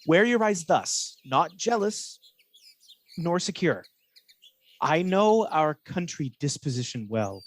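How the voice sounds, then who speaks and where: An actor playing a scheming false friend who feigns honest concern, speaking measured, insinuating Shakespearean verse in a radio play. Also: male voice, on the high side, very animated. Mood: cautioning.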